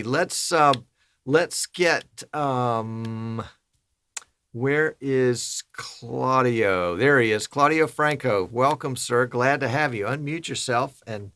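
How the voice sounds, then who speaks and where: Man, show host, gravelly voice, forceful tone, dry sound. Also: mid-range voice, dry recording. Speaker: host of a live streaming show. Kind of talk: casual hosted chat.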